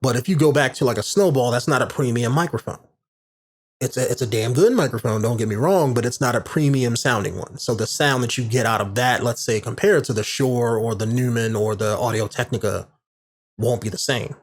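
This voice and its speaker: A man hosting a podcast in a casual, rambling monologue with a warm, medium-range voice, full of fillers and restarts, recorded on a studio condenser microphone. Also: mid-range voice, fairly quick. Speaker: podcast host. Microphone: large studio condenser microphone.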